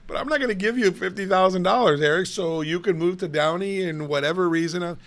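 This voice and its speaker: A middle-aged man talks in a casual co-host chat, his voice raspy and gravelly with plenty of fry. He sits a little high in pitch and tells his stories with animated, chatty energy. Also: fast. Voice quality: raspy today. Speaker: co-host, city official.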